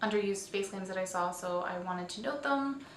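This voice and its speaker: A woman's voice: mid-range voice, normal speed. A woman hosting a casual, chatty roundup vlog, speaking informally and often correcting herself as she goes. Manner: informal, self-correcting, tentative on names. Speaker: host of a weekly online roleplay community show. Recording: echoey room, distant mic, faint hiss.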